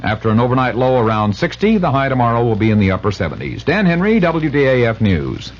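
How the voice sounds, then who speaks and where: A man's voice: mid-range voice, big pitch swings, fast, slight background noise. An American man reading a scripted 1970s radio sports and weather report. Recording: muffled vintage radio.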